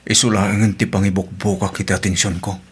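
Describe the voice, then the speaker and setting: A man's voice: lowish voice, flat delivery, fairly quick, close to an everyday voice. A Filipino man playing a betrayed, resigned husband in an audio drama, speaking with a heavy heart.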